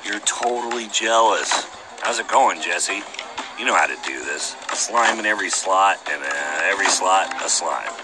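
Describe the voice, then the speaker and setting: An actor playing a dry, teasing young sidekick in an adventure game, with a deadpan sense of humour. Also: male voice, sits low, normal speed. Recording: loud game music behind voice.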